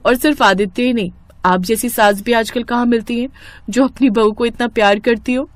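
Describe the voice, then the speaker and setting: A woman voicing a gentle, humble young wife with a polite, deferential manner in a cartoon story. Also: fairly high pitch, fairly even intonation, moderate pace, no room sound, natural voice. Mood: grateful.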